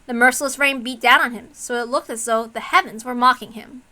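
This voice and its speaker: Bright, high-voiced woman narrating. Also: fairly even intonation, fairly quick. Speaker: reader and host. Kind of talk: dramatic story narration.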